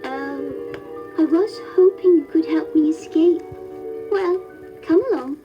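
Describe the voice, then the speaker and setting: Young princess, very high voice, unhurried, earnest, curious manner, music behind. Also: female voice, lo-fi sound. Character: spirited young princess, a polite girl. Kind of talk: storybook record acting.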